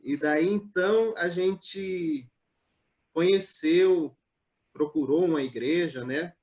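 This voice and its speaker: A man from Northeast Brazil, a pastor, telling his life story in a live online interview in a measured, hesitant way, with many pauses and fillers. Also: fairly high pitch, darkish tone, dull audio. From Natal, Northeast Brazil.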